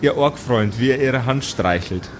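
A man narrating an improvised tabletop audio play as game master, telling the scene in deliberate, descriptive phrases. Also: mid-range voice, a little hiss or hum.

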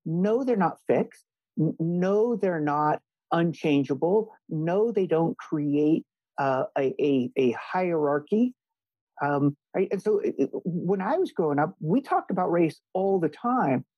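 A man's voice: on the high side, expressive, slow pace, husky, dry recording, lo-fi sound. A man from Hawaii, a law scholar and guest, answering interview questions earnestly and emphatically, often restarting phrases as he thinks, over a video call.

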